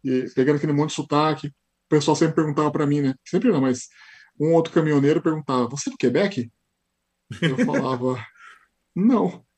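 Give me a lively Paulista man with a husky voice and a rapid pace, chatting as a guest on a podcast. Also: on the high side. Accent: São Paulo Portuguese. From Brazil. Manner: animated storyteller, acts out quoted dialogue. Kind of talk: casual interview chat.

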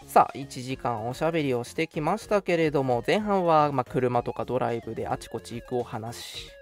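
A man's voice: mid-range voice, lively intonation, brisk. A man hosting a casual radio show, chatting politely and making announcements over background music.

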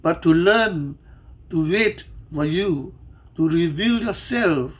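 A person reading a scripted Bible devotional and prayer in a measured, deliberate, reverent manner. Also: mid-range voice, animated, husky.

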